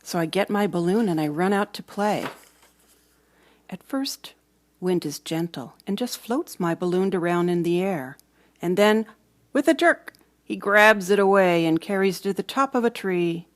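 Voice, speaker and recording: A woman reading a storybook aloud for children, with dramatic emphasis and hushed moments. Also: fairly low pitch, moderate pace. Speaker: storybook reader. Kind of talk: expressive children's read-aloud narration.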